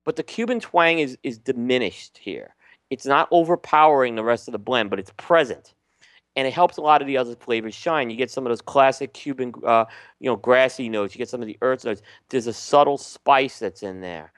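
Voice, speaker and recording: A man's voice: mid-range voice, a little fast, dry recording. A man co-hosting a casual podcast, talking at ease with frequent ums and restarts. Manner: relaxed, many ums and restarts. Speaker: podcast co-host. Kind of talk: casual chat reviewing cigars.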